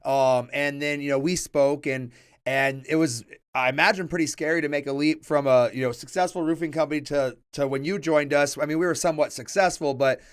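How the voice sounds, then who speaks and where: A man's voice: fairly high pitch, fairly even intonation, brisk, clean tone. A man hosting a company podcast, asking long, rambling questions in a friendly, casual way. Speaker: podcast host. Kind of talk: casual interview chat.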